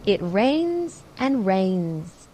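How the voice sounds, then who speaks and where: Slow, expressive woman storyteller. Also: medium pitch, slight background noise. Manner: deliberate, drawn-out emphasis. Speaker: storybook reader. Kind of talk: expressive children's read-aloud narration.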